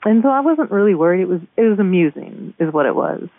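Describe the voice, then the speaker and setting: A woman being interviewed over the phone, casually recounting events in a halting way with repeated words. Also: mid-range voice, lively intonation, no room sound. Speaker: store manager being interviewed. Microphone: telephone call. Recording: muffled band-limited phone line.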